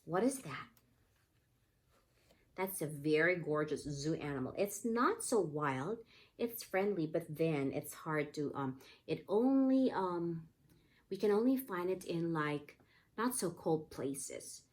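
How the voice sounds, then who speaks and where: A woman's voice: deep voice, lively intonation, takes it slowly, darkish tone. A woman teaching young children in a read-aloud lesson, explaining simply and prompting with repeated questions. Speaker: pre-K teacher.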